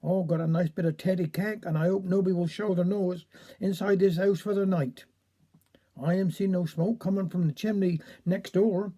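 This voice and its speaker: Woman playing a sly, mellow Cornish village mother. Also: on the low side, steady tone, normal speed, natural voice. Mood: homely, then grumbling.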